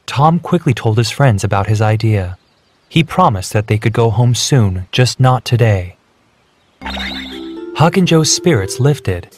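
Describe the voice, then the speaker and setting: A man's voice: medium pitch, lively intonation, a little slow, a little mellow. A man reading scripted narration for a children's storybook, with music behind him. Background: background music.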